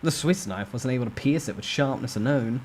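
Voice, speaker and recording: A man's voice: medium pitch, fairly quick. A man giving a dramatic first-person storytime reading of a novel. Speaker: narrator reading a novel aloud.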